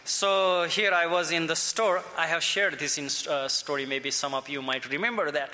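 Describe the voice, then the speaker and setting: A male pastor with an Indian accent preaches in a slow, halting way and often restarts his sentences. His voice sits fairly high and bright, with a long reverb from a big hall and a faint murmur of ambience behind it. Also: steady tone, a little hiss or hum. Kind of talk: sermon.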